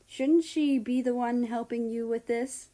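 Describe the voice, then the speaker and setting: A woman's voice: fairly high pitch, fairly even intonation, unhurried, mellow, pitched above the performer's own voice, close to an everyday voice. A woman voicing a teasing young man, a personified country in a story, with casual, sarcastic banter.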